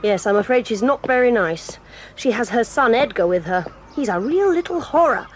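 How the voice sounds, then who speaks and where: An actress voicing a proud, quick-tempered tomboy girl in an audio drama, talking in a brisk, bossy and eager way. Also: a little high, expressive, a little hiss or hum, close to an everyday voice. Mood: excited, lively. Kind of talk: 1980s audio drama acting.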